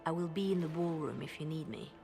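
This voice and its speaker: An actress playing a shrewd, discreet female spymaster in a fantasy game, measured and conspiratorial. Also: sits low, creaky, over music. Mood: discreet, serious.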